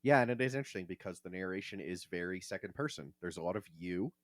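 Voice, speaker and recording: A man's voice: deep voice, lively intonation, quick pace, no room sound. A man hosting a podcast in a casual, conversational style. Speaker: podcast host.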